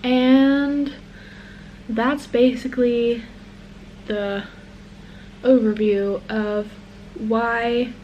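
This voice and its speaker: A woman's voice: fairly high pitch, little pitch movement, extremely slow, pauses often, lo-fi sound, slight background noise. A young woman from England who speaks with an American accent, rambling through a personal story to the camera with lots of ums and restarts. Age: young adult. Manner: rambling, with many ums and self-corrections. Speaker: vlogger. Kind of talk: casual chat to camera. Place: small indoor room. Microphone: camera mic close to face.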